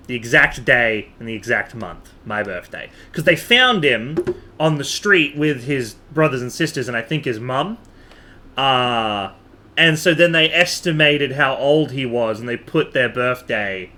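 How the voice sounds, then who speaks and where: Male livestreamer: bright, lively, swooping delivery, casual rambling chat with repetition for emphasis. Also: medium pitch. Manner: animated, rambling, repeats himself for emphasis. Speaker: game livestreamer.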